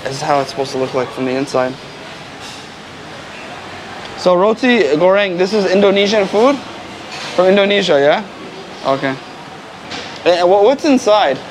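A man's voice: high voice, lively intonation, moderate pace, long pauses. Chatty American man on a noisy street. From United States. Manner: chatty, friendly, explains local words to viewers. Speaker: travel vlogger. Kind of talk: casual walking street food vlog. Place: busy city street with traffic. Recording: street noise and traffic behind.

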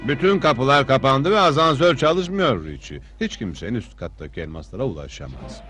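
A worried grown-up helper in a cartoon, acted by a man, with an animated, mid-pitched voice. He reports urgently while music plays clearly behind him. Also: fairly quick, close to an everyday voice. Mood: alarmed. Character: worried adult male employee reporting to young boss. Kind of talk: dubbed cartoon voice acting.

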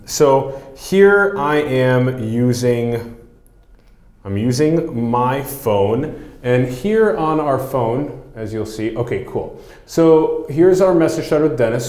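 A man's voice: medium pitch, darkish tone, reverberant. A man in his thirties hosting an interview, talking casually and restarting phrases, on a lapel mic in a small studio. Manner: casual, restarts phrases, walks through demos. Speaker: podcast host. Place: small studio set with whiteboard.

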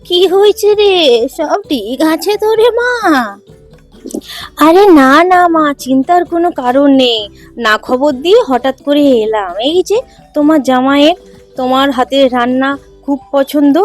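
A woman voicing fussing, affectionate village family women in a cartoon folk tale. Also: sits high. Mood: concerned, reassuring. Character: doting, fussing mother-in-law and her chiding married daughter.

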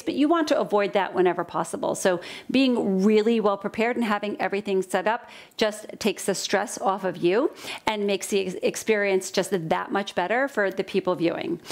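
A woman in her forties, a dietitian, explaining her live cooking demo setup to camera in a home kitchen, chatty and step by step, on a headset mic. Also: mid-range voice. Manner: chatty, explains step by step, moves a lot while talking. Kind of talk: instructional talk to camera. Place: home kitchen studio, indoors.

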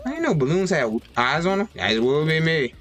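Excitable young man streaming a game. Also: moderate pace, faint music behind. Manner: excitable, yelps high and loud at the game; slangy, talks to himself. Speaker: gaming streamer. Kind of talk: casual gameplay commentary. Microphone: desk mic with webcam.

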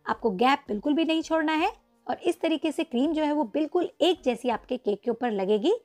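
A woman's voice: high-pitched, expressive, a little fast, darkish tone, faint music behind. A woman narrating a step-by-step cake icing tutorial, patiently instructing viewers and calling them friends. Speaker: cooking channel host. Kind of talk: step-by-step baking tutorial voice-over.

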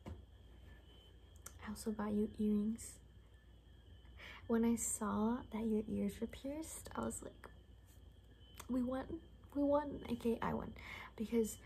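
A young woman in a whispered ASMR roleplay, rambling casually in a soft, breathy, chatty way. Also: a little high, steady tone, slow, pauses often, slight background noise, low-quality recording. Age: young adult. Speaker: ASMR creator playing an attendant. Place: small bedroom indoors.

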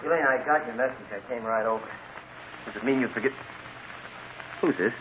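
A man's voice: medium pitch, brisk, lightly acted. A man playing a pushy, desperate young suitor in a vintage radio drama. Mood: uneasy bravado. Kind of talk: 1940s radio acting. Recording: tinny, noisy old radio broadcast.

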